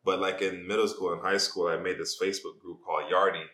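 Man, Jamaican accent, very low pitch, bright forceful tone, loose slangy interview chat. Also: flat delivery, fast talker. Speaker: podcast guest, social media page creator. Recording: echoey room.

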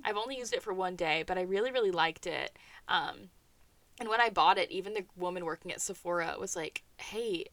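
A woman's voice: fairly low pitch, brisk, fairly bright tone, no echo. A young woman co-hosting a podcast, chatting casually and telling stories with frequent laughter. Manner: chatty, storytelling, laughs often. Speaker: podcast co-host. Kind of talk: casual chat.

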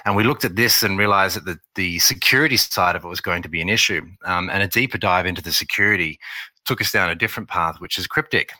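A man's voice: low voice, fast, no room sound. A man with an Australian accent, a marketing officer as a podcast guest, answering at length with many fillers. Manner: relaxed, rambling with many fillers.

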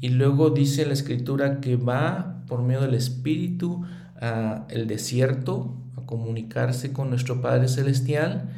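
A man's voice: medium pitch, a little slow, warm tone, roomy sound, a little hiss or hum. A middle-aged man giving a reflective religious lesson, earnest and thinking aloud as he speaks. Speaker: scripture study teacher.

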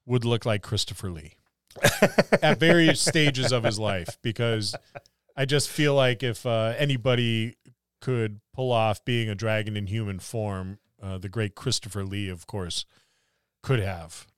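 A man's voice: fairly low pitch, a little slow, clean tone, dry recording, clean studio sound. A man co-hosting a podcast, chatting casually about tabletop gaming in a rambling, musing way. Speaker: podcast co-host.

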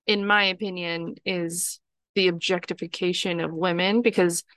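Bright-voiced woman recapping a film. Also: on the low side, gravelly, dry recording. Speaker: podcast co-host. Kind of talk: casual chat recapping a film.

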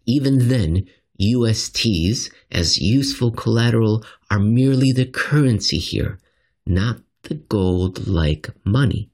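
A man narrating a written finance commentary in an expressive, scripted style with dramatic, deliberate phrasing. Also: fairly low pitch.

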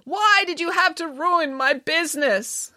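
A woman voicing a theatrical, woeful lady chocolatier with drawn-out melodramatic wailing, in a storybook. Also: high voice, lively intonation, pushed and bright, no room sound, studio quality, voice pushed up, exaggerated voice.

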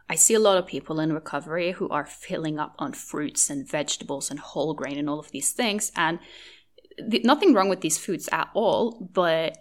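A young woman hosts her own podcast in a slightly low voice. She speaks in earnest and reassuring tones and repeats her main points for emphasis. Now and then she drops to a soft near-whisper. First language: Norwegian. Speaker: podcast host. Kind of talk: solo podcast advice talk.

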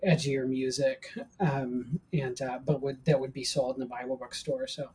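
A man's voice: medium pitch, fairly even intonation, moderate pace, a little mellow, a little hiss or hum. An American man in his forties speaking casually as an interview guest, telling personal anecdotes in a self-deprecating way. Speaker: guest, professor of ancient Christianity.

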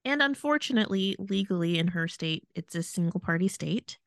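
A woman's voice: lowish voice, lively intonation, brisk, no echo, clean studio sound. A woman co-hosting a podcast in casual chat, sounding sarcastic and exasperated. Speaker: podcast host.

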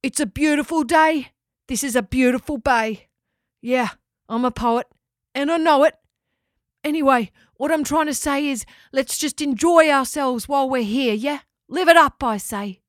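A woman's voice: high voice, measured pace, no room sound, studio quality, pitched above the performer's own voice. A woman voicing a laid-back, jokey male kangaroo in a storybook reading. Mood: carefree. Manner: easygoing, drawling and jokey.

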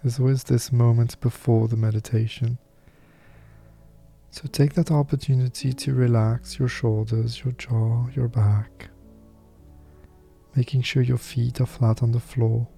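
A man with a very warm, relaxed, dark timbre guides a meditation in a mid-range voice. He is soft-spoken and soothing, delivering affirmations with unhurried pauses. Faint music plays behind him. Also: mid-range voice, vocal fry.

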